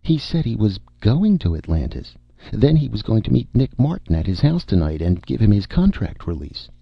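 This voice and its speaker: A man voicing a vague, high-pitched starlet. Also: very animated, normal speed, creaky, husky, no echo, lo-fi sound, full character voice. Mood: unruffled. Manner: vague, unruffled, dreamy. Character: vague, placid, dim-witted young female film star.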